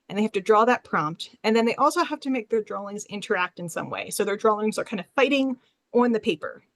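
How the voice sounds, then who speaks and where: A woman's voice: a little high, no echo. A woman who is a librarian giving an informal presentation as a panelist on a webinar.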